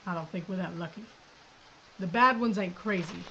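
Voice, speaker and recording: A woman's voice: on the low side, moderate pace, fairly bright tone, slight background noise. A woman playing a tough Old woman sheriff. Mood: determined. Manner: dry, blunt, folksy. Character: tough gun-toting woman sheriff, blunt and stubborn. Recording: dull lo-fi audio.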